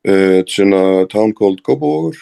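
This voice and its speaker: A man's voice: sits low, expressive, a little fast, creaky, dark tone. A man from Iceland with an Icelandic accent, giving plain, hesitant answers as an interview guest. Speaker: interview guest, fraternity member.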